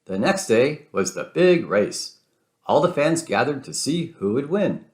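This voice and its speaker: A man narrating a children's storybook aloud in an expressive read-aloud style. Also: mid-range voice, measured pace. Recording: roomy, echoing sound.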